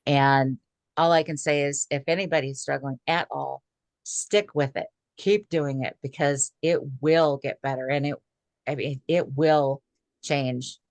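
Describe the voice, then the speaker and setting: A woman's voice: deep voice, a little slow, dry recording. A woman in her sixties speaking as a guest on a video call, chatty and full of "um" fillers. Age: 60s. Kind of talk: casual video call talk.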